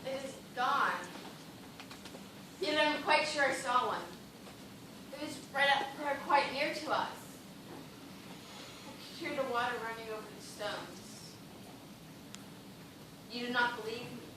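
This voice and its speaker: A woman's voice: on the high side, unhurried, long pauses, natural voice. An actress playing a distraught, feverish female dancer castaway in an amateur stage play, with impassioned theatrical delivery. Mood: desperate insistence. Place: theatre stage, recorded from audience. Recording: very echoey stage, distant, noisy.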